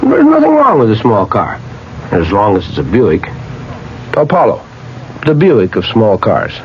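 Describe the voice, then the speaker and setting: An actor playing a plainspoken, proud car owner in a 1970s radio commercial, conversational and emphatic. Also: male voice, on the low side, wildly swooping pitch, a little slow. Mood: skeptical, then satisfied. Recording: muffled old radio with faint hiss.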